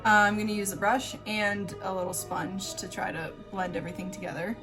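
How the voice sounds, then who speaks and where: Woman doing a chatty makeup tutorial, offhand and self-deprecating, medium pitch, music playing behind her. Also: fairly even intonation, fairly quick, rough recording. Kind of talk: casual makeup tutorial chat.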